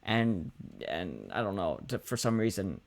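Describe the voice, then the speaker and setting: A man co-hosting a casual conversational podcast, speaking haltingly and often correcting himself as he goes. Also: sits low, big pitch swings, creaky. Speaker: podcast co-host and parent.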